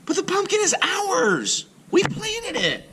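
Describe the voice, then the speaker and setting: A man voicing a bold, upset young girl in a very high, breathy voice. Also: wildly swooping pitch, takes it slowly, noisy background, exaggerated voice. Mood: upset, pleading.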